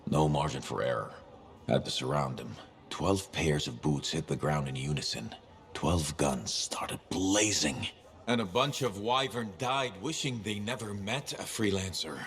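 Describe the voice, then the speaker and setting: A man's voice: a bass voice, fairly bright tone, heavily acted. An actor playing a boastful older male veteran fighter who tells war stories with swagger in a video game. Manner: bragging, storytelling swagger. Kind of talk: video game cutscene acting.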